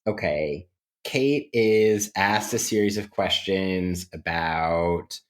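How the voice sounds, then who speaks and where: A man's voice: low-pitched, slow pace. A man co-hosting a podcast, talking through ideas in an analytical, academic and conversational way with many qualifications. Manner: academic, hedging phrasing. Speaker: podcast co-host.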